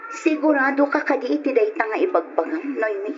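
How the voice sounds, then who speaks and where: Woman acting a heartbroken young woman, widely swinging pitch. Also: lively intonation, a little fast, lightly acted. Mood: shocked. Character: heartbroken betrayed young woman, Heidi, bitter and wounded. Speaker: radio drama actress. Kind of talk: old-fashioned Ilocano radio drama acting. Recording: muffled, noisy old radio recording.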